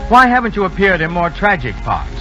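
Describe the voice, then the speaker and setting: High, bright 1930s radio announcer man. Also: very animated, a little fast, faint music behind. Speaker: radio theater host and announcer. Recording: muffled 1930s radio broadcast recording.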